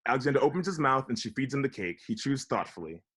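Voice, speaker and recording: A man narrates the action of a script. His voice is mid-range and he reads at a brisk clip, as scripted stage directions. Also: medium pitch, animated, fairly bright tone, husky. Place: recorded remotely at home on a video call.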